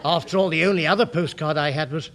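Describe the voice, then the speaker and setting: An actor playing a timid, bungling junior English civil servant in a British radio sitcom, stammering and anxious. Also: male voice, a little high, fast, heavy background noise, close to an everyday voice. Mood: worried.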